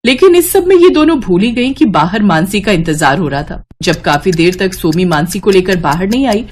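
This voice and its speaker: A woman's voice: medium pitch, animated, moderate pace, a little mellow. A woman reading a scripted story as its narrator.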